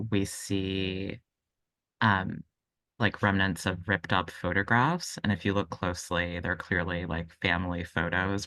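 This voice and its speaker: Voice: sits low, vocal fry, fairly bright tone, no room sound. A player in a tabletop game, casually improvising a description of a scene.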